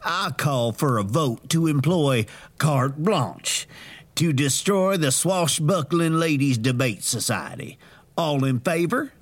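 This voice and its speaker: A man's voice: fairly high pitch, lively intonation, slow. A man acting in an audio drama as a pompous, scheming heir to a crime syndicate, speaking formally and with self-importance. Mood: formal, businesslike.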